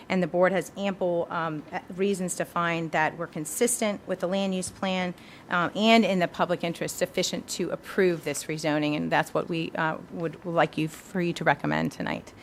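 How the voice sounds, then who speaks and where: A woman presenting a formal argument to a planning board on behalf of an applicant, measured and persuasive, with some fillers. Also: lowish voice, slight background noise. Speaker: attorney for the applicant.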